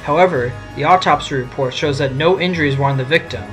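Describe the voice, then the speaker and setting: A man's voice: a little high, fast talker, a bit bright, soft background music, close to an everyday voice, rough recording. A man playing an assured, wry fox defense lawyer, explaining things in an audio drama. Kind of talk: amateur audio drama acting.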